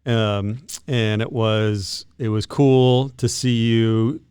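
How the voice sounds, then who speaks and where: A man's voice: on the low side, steady tone, slow pace, a little mellow, clean tone, dry recording, studio quality. A man hosting a casual interview, asking prompting questions in a friendly manner. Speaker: interview host.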